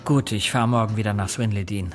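An actor playing an earnest young investigator who narrates a German radio play, composed and courteous. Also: male voice, fairly low pitch, fairly even intonation, brisk, soft background music, close to an everyday voice. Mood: polite, inquiring.

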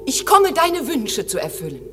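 An actress playing an eager, dutiful airy spirit servant in a classic German radio drama, declaiming verse in a lively, lyrical way, with long reverb. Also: female voice, high-pitched, moderate pace, over loud music. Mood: exultant, vivid.

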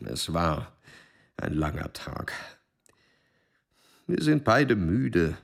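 Deep-voiced, dignified old statesman. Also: sing-song, creaky, exaggerated voice. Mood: weary, troubled. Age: older. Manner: measured, formal, hesitant. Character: cautious, dignified old statesman and famous explorer. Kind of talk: German radio drama acting.

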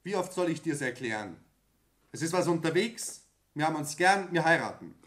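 A defiant young heir in a radio drama. His fairly high voice moves in lively swings, stubborn and full of resentment. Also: moderate pace. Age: young adult. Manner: stubborn, accusing. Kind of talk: German radio play acting.

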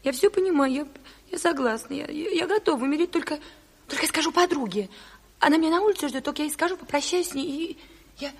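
Naive coquettish lady, high voice, affected drawl, giggly, theatrical radio drama, reverberant studio. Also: fast talker. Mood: horrified, flustered. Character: coquettish, naive, gushing bourgeois lady seeking Party membership.

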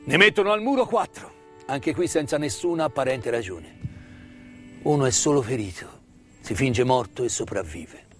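A man delivers a theatrical narrative monologue as a stage storyteller, solemn and dramatic, with repeated words and weighty pauses. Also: medium pitch. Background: live musical accompaniment.

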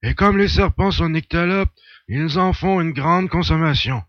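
A man's voice: on the high side, normal speed, no echo, dull audio, lightly acted. A man playing a confident, ironic hunter who explains things with assurance in an audio drama. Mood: assured, explaining. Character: confident male hunter with alien blood brother, ironic.

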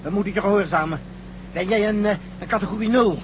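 A male actor voices a fanatical yet submissive alien in a radio drama. The voice is high and swings dramatically with declamatory intensity. The sound is dull, with clear background noise. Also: fast talker, natural voice. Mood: awed, fervent. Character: submissive yet fanatical alien being inside hostile satellite. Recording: dull, noisy old radio recording.

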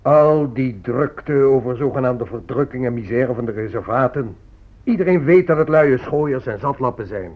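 An actor playing a condescending, bigoted American government negotiator in a radio drama, with a pompous, patronising manner. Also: male voice, medium pitch, animated, normal speed, narrow, tinny audio, close to an everyday voice. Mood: indignant, scornful.

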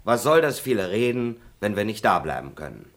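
An impudent manservant who grumbles and talks back. His lowish voice leaps lively up and down. A radio play role. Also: a little fast. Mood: cheeky. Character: cheeky, grumbling male servant.

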